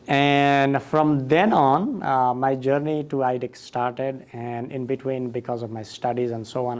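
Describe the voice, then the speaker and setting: A man's voice: medium pitch, darkish tone. A man, a school director telling his story in a conference interview, thoughtful with fillers and restarts. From Nepal.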